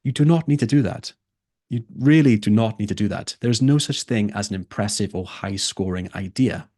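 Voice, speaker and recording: A man's voice: on the low side, normal speed, mellow, no room sound, clean studio sound. A man who tutors for a test, teaching a live online lesson and repeating his key points for emphasis.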